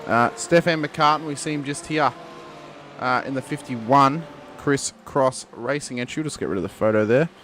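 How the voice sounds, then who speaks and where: A man giving lively play-by-play commentary on a sim race. He talks straight to the viewers and lifts his voice for the big moments. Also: medium pitch, normal speed, a little hiss or hum.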